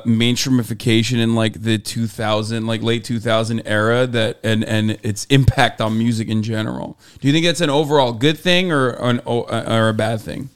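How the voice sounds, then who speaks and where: A young man who grew up in Turkey, hosting a casual podcast chat in an animated way and laughing easily. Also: medium pitch, clear, resonant tone. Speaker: podcast host.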